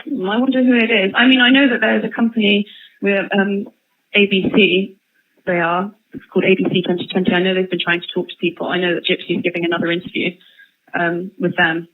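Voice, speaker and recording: A woman's voice: mid-range voice, flat delivery, fairly quick. A woman chatting casually and a little tentatively as an interviewer on a thin, tinny phone call. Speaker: media interviewer calling an inmate. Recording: tinny, band-limited phone line.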